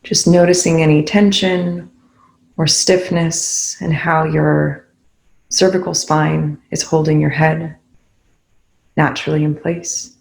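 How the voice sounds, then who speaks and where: A meditation guide speaking calmly and instructively, leaving long pauses between phrases. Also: medium pitch, gravelly, poor audio.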